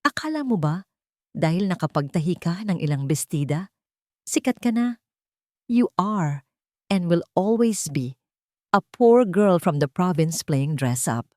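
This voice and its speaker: A woman's voice: lowish voice, lively intonation, a little slow, long pauses, a little mellow, no room sound, studio quality. A woman voicing a haughty, contemptuous young rich woman in a drama story, sneering and condescending. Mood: mocking. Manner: sneering, condescending, mixing English into Tagalog.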